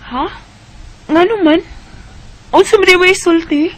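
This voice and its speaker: A woman's voice: very high voice, unhurried, a bit bright. An actress playing a young woman torn between love and family in a sentimental radio drama, with a Filipino Bisaya accent. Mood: curious, surprised.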